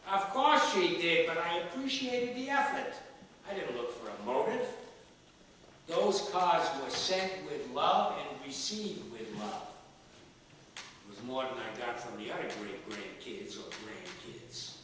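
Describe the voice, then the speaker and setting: A man's voice: a little high, expressive, measured pace, a bit bright, a little hiss or hum. Man acting feisty old man, echoey far-off stage. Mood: reflective. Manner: defiant, wry, emphatic. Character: feisty, stubborn ninety-seven-year-old father in love. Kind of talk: stage play dialogue. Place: theatre stage, distant microphone.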